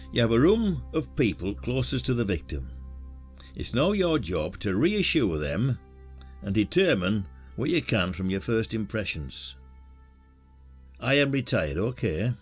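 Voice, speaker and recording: An actor playing a reluctant, older retired detective in a podcast drama, dry and weary with an air of authority. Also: male voice, fairly low pitch, big pitch swings, a little slow, pauses often, husky, faint music behind, full character voice. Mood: dry, brisk. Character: retired village police detective, gruff and reluctant.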